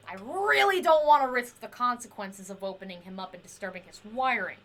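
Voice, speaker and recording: A woman's voice: a little high, fairly bright tone. A woman playing an eccentric, obsessive inventor in an audio drama, proud and volatile, speaking in formal, clinical research notes. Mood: clinical, frustrated. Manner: formal clinical diction breaking into pleading outbursts. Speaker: voice actor.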